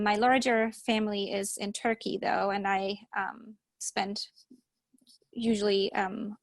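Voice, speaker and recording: A woman in her thirties from Germany, speaking English with a German accent, introducing herself thoughtfully on a podcast with frequent pauses. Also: medium pitch, creaky, dry recording. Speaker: podcast co-host. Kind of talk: casual chat.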